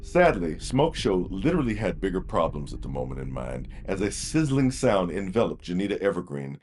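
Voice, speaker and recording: A man's voice: low-pitched, very animated, brisk, a little mellow, soft background music. A man narrating a fantasy audio drama from a script in a dramatic storytelling style.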